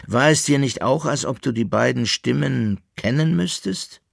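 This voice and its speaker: A man voicing a wry, evasive fiddler who hesitates and feigns calm, in an audiobook story. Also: mid-range voice, normal speed, vocal fry, no room sound, clean studio sound, pitched above the performer's own voice. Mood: feigned calm. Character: wry, evasive, forgetful male fiddler, resigned yet cheerful.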